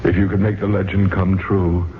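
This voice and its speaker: A man's voice: deep voice, little pitch movement, slight background noise, close to an everyday voice. An actor playing a centuries-old, melancholy ghost of a nobleman in a 1970s radio drama, speaking in a grandiloquent, theatrical way. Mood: weary, yearning. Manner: grandiloquent, slow theatrical delivery. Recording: muffled, phone-like vintage radio broadcast with music.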